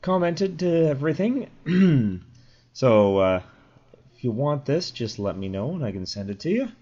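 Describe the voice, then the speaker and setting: A man's voice: mid-range voice, big pitch swings, a little slow, mellow. A young man with a sore throat and a slightly crackly voice, casually explaining code in a rambling screen-recorded tutorial with fillers and self-corrections. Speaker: programming tutorial host. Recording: dull lo-fi mic.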